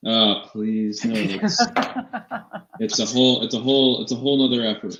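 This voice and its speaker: A man co-hosting a casual fantasy sports live show, talking in a halting way with repeated and restarted phrases. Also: fairly low pitch, fairly even intonation, crowd noise. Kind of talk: casual sports talk banter. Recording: echoey room, dull lo-fi mic.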